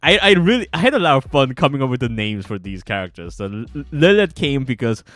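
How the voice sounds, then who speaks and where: A man with a middling-pitched voice chats casually on a livestream as a game developer. He is excitable and halting, often restarting phrases. Music plays in the background. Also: dry recording. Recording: music behind the voice.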